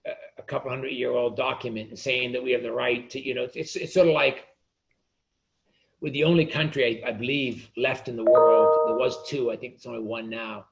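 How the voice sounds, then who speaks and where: A man's voice: mid-range voice, fairly even intonation, fairly quick, vocal fry, dull audio, noisy background. A man being interviewed as an author, speaking indignantly and often restarting his sentences. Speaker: author and guest. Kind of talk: interview conversation.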